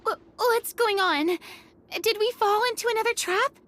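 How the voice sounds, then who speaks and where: Very high, childlike game companion. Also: female voice, normal speed, a bit bright. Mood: frightened. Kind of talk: video game voice acting.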